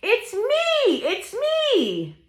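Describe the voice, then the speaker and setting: A woman voicing excited little storybook farm animals, with squeaky calls and gleeful exclamations. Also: extremely slow, exaggerated voice. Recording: roomy indoor echo.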